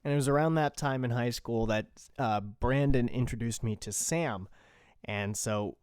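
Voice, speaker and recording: Male podcast host, medium pitch, crisp clear tone, dry studio-tight sound, loose and informal unscripted delivery. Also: no room sound. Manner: informal, rambling, mildly profane. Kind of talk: casual unscripted podcast talk.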